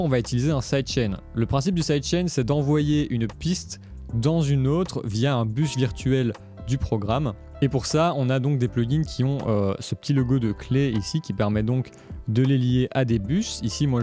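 A man's voice: medium pitch, normal speed, warm tone. A man presenting a music production tutorial in a casual, step-by-step explanatory way. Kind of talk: casual screencast tutorial narration.

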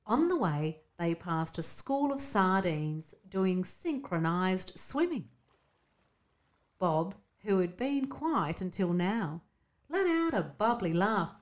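Slow, lively woman telling children's stories. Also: fairly low pitch. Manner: expressive, storybook delivery. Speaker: storyteller. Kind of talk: scripted children's story narration.

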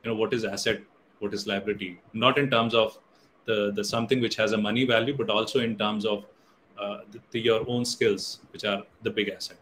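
A man's voice: on the low side, fairly even intonation, a little fast, fairly bright tone, slight background noise, low-quality recording. A middle-aged Indian man, a native Hindi speaker, gives an online guest lecture in English with many fillers and restarts. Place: indoor room on a video call. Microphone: webcam or laptop mic on video call.

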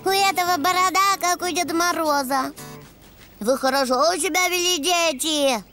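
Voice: very high voice, normal speed, sharp tone, faint music behind. An actor voicing a cheerful, playful little boy donkey in a preschool cartoon, chatting and wondering aloud in a sing-song way. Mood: playful, curious. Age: young child.

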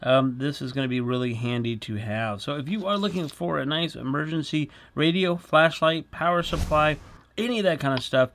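Casting: middle-aged male review host, slightly high voice, chatty, casual explainer delivery. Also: moderate pace, a bit bright. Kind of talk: casual product demonstration to camera.

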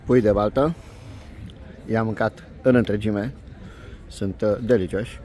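A man doing a casual food review vlog in short, unhurried, appreciative phrases, recorded outdoors on a handheld phone. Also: on the low side, dark tone, a little hiss or hum. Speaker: food vlogger. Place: outdoor restaurant terrace by a lake.

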